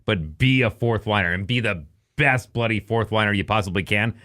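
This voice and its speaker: A man's voice: fairly low pitch, a little fast, bright, forceful tone. A middle-aged man, co-hosting a casual call-in podcast in a blunt, dry, matter-of-fact way. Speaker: podcast co-host and radio announcer. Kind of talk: casual advice and banter on a call-in show.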